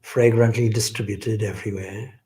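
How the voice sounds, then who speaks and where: A man leading a guided meditation as a swami, speaking in a gentle, reverent, deliberate way with long pauses. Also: on the low side, fairly even intonation.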